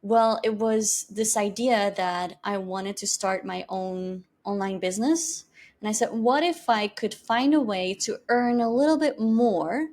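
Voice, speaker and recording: A woman's voice: mid-range voice, unhurried. Candid Flemish-accented woman podcaster. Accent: Flemish Belgian. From Belgium. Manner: candid and reflective, with lively rises. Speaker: podcast host. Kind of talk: personal storytelling monologue.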